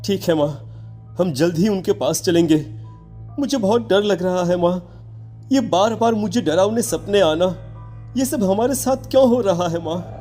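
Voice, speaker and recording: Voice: medium pitch, measured pace, warm tone, faint music behind, lightly acted. An actor voicing a frightened, clingy teenage schoolboy in a horror cartoon, hesitant and repeating pleas. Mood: scared, confused. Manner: hesitant, repetitive pleading. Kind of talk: melodramatic horror cartoon acting.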